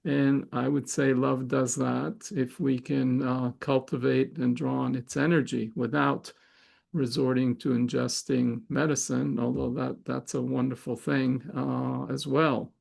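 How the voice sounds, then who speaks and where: A middle-aged man from Israel, a Hebrew speaker, hosting a spiritual gathering in a thoughtful, halting way with many fillers and restarts. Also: medium pitch, little pitch movement, gravelly, darkish tone. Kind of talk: reflective spiritual talk.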